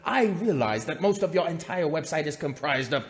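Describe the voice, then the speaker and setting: Indignant corporate executive acted by a man: high male voice, pompous, theatrical formal reading, heavy hall reverb. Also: expressive, moderate pace, natural voice. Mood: indignant, threatening. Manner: pompous, theatrical delivery with sharp swells and drops. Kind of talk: dramatic reading of formal emails. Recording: long reverberant hall echo.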